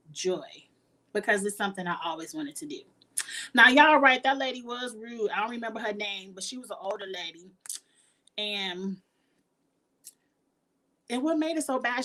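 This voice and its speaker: A woman, chatting casually with her viewers as a YouTuber, laughing often and sounding earnest and encouraging. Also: a bit bright. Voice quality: high-pitched voice. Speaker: YouTuber answering live chat.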